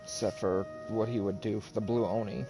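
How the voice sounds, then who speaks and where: A man giving casual, blunt and opinionated gameplay commentary on a live stream, over loud game music. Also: on the low side, fairly even intonation, brisk, rough recording. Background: game music and sounds.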